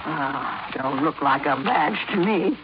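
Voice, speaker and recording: Boozy old landlady, swooping pitch. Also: lively intonation, slow pace. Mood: gruff, suspicious. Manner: slangy, uneducated, grumbling. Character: gin-soaked old landlady, crude, slow-witted, skittish. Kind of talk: 1950s radio drama acting. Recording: muffled, noisy 1950s radio recording.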